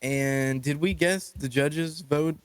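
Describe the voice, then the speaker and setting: A man co-hosting a podcast, chatting casually in a jokey, excitable way. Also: fairly high pitch, takes it slowly, clear, resonant tone. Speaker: podcast co-host. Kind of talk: casual chat.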